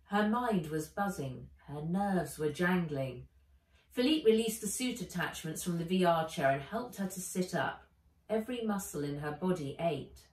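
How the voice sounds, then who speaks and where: Woman storyteller, children's book reading, slow, animated, deliberate, roomy distant sound. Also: fairly low pitch. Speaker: author reading own book aloud. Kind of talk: expressive children's storybook narration. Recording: roomy echo, distant mic.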